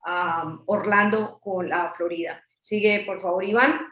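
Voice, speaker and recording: A woman's voice: lowish voice, steady tone, a little slow, fairly bright tone, echoey room, low-quality recording. A woman from Colombia presenting real estate investment in a webinar, listing facts and figures in a promotional way.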